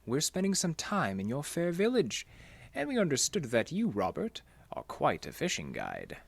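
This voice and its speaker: Casting: mysterious, suave vampire stranger for horror audio drama; mannered courtesy, hugely animated pitch, dry studio sound. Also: male voice, moderate pace, vocal fry, no room sound, heavily acted. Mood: courtly, eager. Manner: formal, polite, elaborately courteous.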